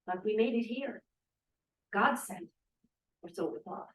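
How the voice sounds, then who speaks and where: An actress playing an earnest, idealistic young Russian émigré scientist in a 1950s period drama at a table read. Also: female voice, medium pitch, measured pace, lightly acted. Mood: confessional, sad. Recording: echoey room, distant microphone.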